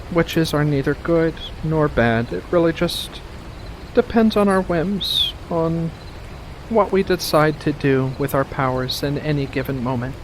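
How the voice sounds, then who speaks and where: A man voicing a playful, coy female witch with faint menace in a soft-spoken ASMR roleplay, speaking in a coaxing, deliberate way with pauses. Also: a little high, noisy background, pitched above the performer's own voice. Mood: playful, musing.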